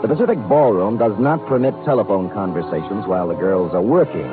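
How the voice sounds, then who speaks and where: A tinny old radio broadcast with music behind it carries a hardboiled American private eye acted by a man. His voice sits low, and he talks in a wry, deadpan, streetwise way, as in a classic 1950s detective serial. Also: brisk, close to an everyday voice. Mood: wry narration. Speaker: lead actor and narrator of a detective series.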